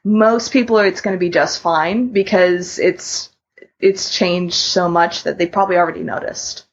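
Husky woman podcaster, dull lo-fi sound. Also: lowish voice, steady tone, moderate pace. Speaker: podcast host. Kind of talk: casual podcast discussion and announcements.

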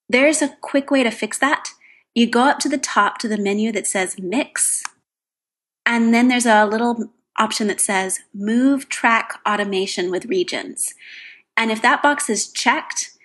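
A woman's voice: on the high side, husky. A woman who calls in as a listener, giving a casual how-to explanation. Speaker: listener calling in with a tip.

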